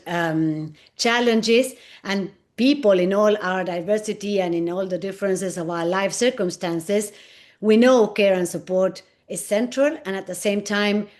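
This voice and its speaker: Argentine woman, spanish is her first language, measured panel talk, middle pitch. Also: a little mellow. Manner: measured, list-like delivery. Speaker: NGO executive director. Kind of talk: panel presentation.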